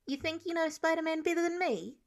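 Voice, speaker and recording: A woman's voice: very high voice, brisk, voice pushed up. A woman reading an audiobook, voicing a wary, cheeky young male student superhero. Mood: cheeky, challenging. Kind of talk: audiobook character dialogue.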